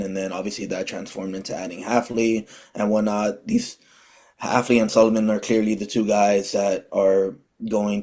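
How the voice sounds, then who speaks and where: A man co-hosting a casual sports podcast who talks haltingly, with many restarts and fillers. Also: on the low side, a little mellow. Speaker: podcast co-host.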